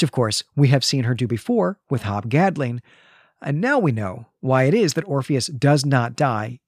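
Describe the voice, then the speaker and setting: A man's voice: medium pitch, darkish tone, no room sound, clean studio sound. A man hosting a literary discussion, explaining and recapping in an articulate way, often checking his points with a tag question. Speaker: podcast host.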